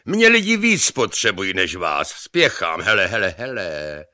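Muž hraje drzého, netrpělivého a vychloubačného mladíka, který v povídce huláká a vytahuje se. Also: male voice, on the low side, huge pitch swings, bright, forceful tone, dry recording, clean studio sound, full character voice. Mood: impatient, boastful. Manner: hollering, cocky. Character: brash long-haired young man called Luck, boastful, impatient.

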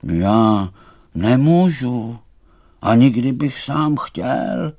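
Storybook thistle, male performer: large, spiky, helpful, mid-range voice, unhurried drawn-out delivery. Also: mid-range voice, very animated, warm tone. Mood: helpless. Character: big prickly male thistle, obliging and slow.

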